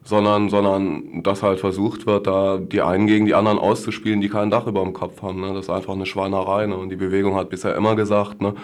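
A low-voiced man speaks as an activist guest in a casual interview. He keeps a flat intonation while talking bluntly in slangy, colloquial phrases, often tacking on short tag questions. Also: fast, few pauses.

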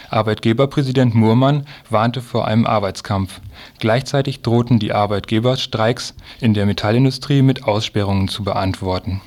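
A man's voice: fairly low pitch, a little mellow. A man reading scripted news as a radio presenter.

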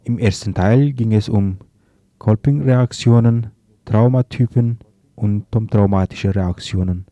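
A man presenting on the radio, introducing a broadcast in a measured, factual way. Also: lowish voice, mellow, no room sound, highs cut off. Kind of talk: programme introduction.

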